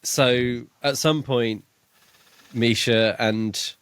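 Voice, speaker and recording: A man's voice: medium pitch, fairly even intonation, drawn-out words, a bit bright, clean tone, dry recording. A man co-hosting a podcast, chatting casually about a book and thinking aloud with some hesitation. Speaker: podcast co-host. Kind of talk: casual book discussion chat.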